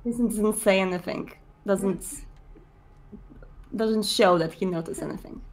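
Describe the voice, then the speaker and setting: A woman narrating her character's actions in a tabletop roleplay session, in a deliberate, descriptive way. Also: medium pitch. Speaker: player narrating own character.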